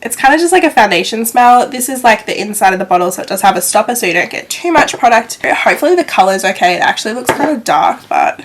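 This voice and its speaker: A woman's voice: fairly high pitch, expressive, quick pace, hardly any pauses, slight background noise. A young Australian woman with a congested, nasal voice, chatting casually through a makeup review and peppering her talk with "like". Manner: chatty, filler-heavy with frequent "like". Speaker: beauty vlogger.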